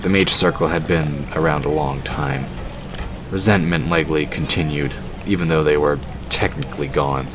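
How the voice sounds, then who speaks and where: A man's voice: a bass voice, fairly even intonation, normal speed. A man narrating a scripted fantasy story for an audio drama. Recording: clearly noisy background. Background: ambient sound effects.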